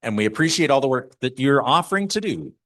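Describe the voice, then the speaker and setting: A man chairing a church council meeting, reading out names haltingly and repeating words as he goes, in a meeting room. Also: medium pitch, big pitch swings, fairly bright tone. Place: meeting room, also streamed online.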